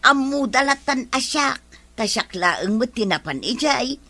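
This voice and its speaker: A woman's voice: high voice, animated, unhurried, sharp tone, no room sound, exaggerated voice. A woman playing a troubled, shamefaced village woman in a radio drama. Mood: troubled, ashamed.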